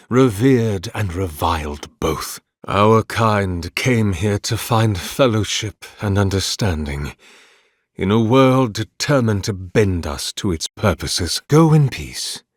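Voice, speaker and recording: An ancient, devout priest of a fantasy game, solemn and courteous. His low voice moves slowly and swings expressively through formal, archaic phrases, with a melancholy temper. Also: husky, no room sound, studio quality, full character voice. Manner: formal, archaic, measured, reverent. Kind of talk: solemn video game character dialogue.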